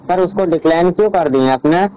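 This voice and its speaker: A man with a fairly high-pitched voice speaks on a recorded phone call. He gives instructions one step at a time, insistently and directively, often repeating them. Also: brisk, mellow, clean tone. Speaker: phone caller.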